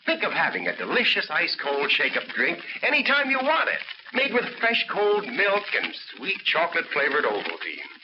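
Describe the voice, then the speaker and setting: A man reading a 1940s radio commercial for children in an excited, gushing way, on a noisy old recording. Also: sits high, muffled recording. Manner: excited, gushing, talks to kids. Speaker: radio announcer.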